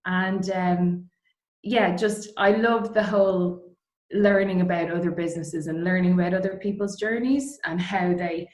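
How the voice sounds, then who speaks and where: A woman's voice: lowish voice, steady tone, unhurried. A middle-aged woman with an Irish accent chatting informally as an interview guest about her own career. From Dublin, Ireland. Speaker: interview guest, distillery co-founder. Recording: roomy, echoey sound.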